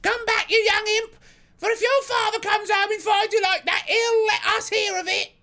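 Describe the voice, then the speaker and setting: A storybook working-class housewife, pitched extremely high. She is stout, fretful and talkative, respectful to her betters but full of homely grumbling. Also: measured pace, sharp tone, full character voice. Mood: scolding. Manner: deferential, garrulous, colloquial working-class speech. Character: stoutish red-faced working-class London wife, fretful and chatty.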